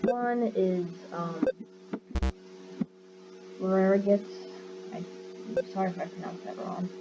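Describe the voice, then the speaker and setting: A young woman talks through a simple game in a dry, deadpan voice that she herself calls monotone, with a middling pitch. The sound is dull and lo-fi. Loud music plays under her. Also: takes it slowly. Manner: deadpan, self-described monotone. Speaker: gaming channel host. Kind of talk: casual gameplay commentary.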